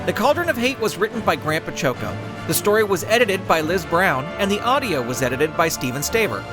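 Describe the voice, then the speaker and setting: A man's voice: high-pitched, big pitch swings, fairly quick, music in the background. A man narrating a scripted story as an audiobook reader. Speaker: narrator and author.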